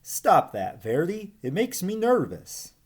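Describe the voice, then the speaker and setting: A man's voice: high voice, huge pitch swings, slow pace, breathy edge, pitched above the performer's own voice, exaggerated voice. A man voicing a nervous, irritable older snake from a storybook, in a complaining manner. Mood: irritated, complaining.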